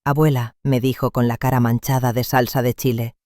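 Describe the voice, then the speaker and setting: A man reads a first-person story aloud in a calm, measured, reflective voice. His tone is mellow and dark, and the recording is dry, with no room sound around him. He keeps a steady, unhurried storyteller's delivery. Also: mid-range voice, dry recording. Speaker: narrator.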